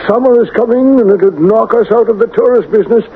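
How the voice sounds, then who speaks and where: Male actor as a polite finance minister, very high voice, tinny old radio sound. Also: a little fast, a little hiss or hum, close to an everyday voice. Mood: persuasive. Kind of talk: 1970s radio acting.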